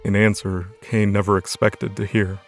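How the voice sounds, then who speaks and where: A man's voice: fairly low pitch, level tone, moderate pace, faint music behind. A man narrating an audio drama in a scripted, dramatic storytelling style.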